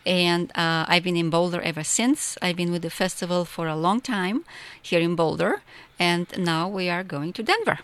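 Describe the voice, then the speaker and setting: Romanian lady on the radio, kinda low voice, chatty and excited, laughs a lot. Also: moderate pace, hardly any pauses. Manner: enthusiastic, chatty, laughs often. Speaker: festival organizer guest. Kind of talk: casual radio interview. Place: radio studio.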